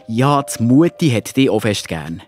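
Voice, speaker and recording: A man playing a loving, patient father in a children's audio drama, gently reassuring, with a Swiss German accent. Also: medium pitch, very animated, slow, faint music behind.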